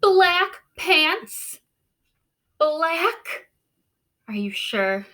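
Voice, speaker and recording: A woman's voice: very high-pitched, sing-song, very slow, sharp tone, voice pushed up, full character voice. A woman voicing an eager, stubborn little boy in a storybook read-aloud, with exaggerated, emphatic exclamations. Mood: shocked, aghast.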